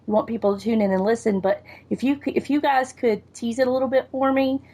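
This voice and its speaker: Southern woman, podcast host, joking, gravelly, higher pitch. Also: fairly even intonation, quick pace, mellow. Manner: chatty, joking. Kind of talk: casual podcast interview.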